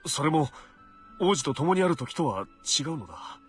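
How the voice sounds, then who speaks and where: An actor playing a loyal, troubled knight in a visual novel, speaking formally and haltingly. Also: male voice, fairly high pitch, very animated, soft background music. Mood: troubled, earnest. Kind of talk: Japanese visual novel voice acting.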